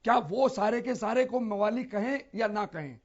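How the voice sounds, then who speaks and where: Male panelist, raised high voice, emphatic repetition, point-by-point arguing, lo-fi broadcast sound. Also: moderate pace. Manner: emphatic, repeats phrases, numbers his points. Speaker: debate panelist. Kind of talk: argumentative political debate. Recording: dull, lo-fi broadcast audio.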